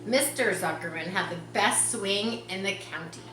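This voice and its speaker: A woman reading a children's story aloud, expressive, as if guiding young listeners through it. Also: medium pitch, moderate pace. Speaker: storybook reader. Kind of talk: children's read-aloud narration. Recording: roomy echo, faint background noise.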